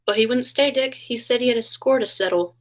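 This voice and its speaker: A woman's voice: fairly high pitch, a little fast, natural voice. A woman playing a detective's helpful, concerned young assistant in a 1940s radio play. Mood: uneasy.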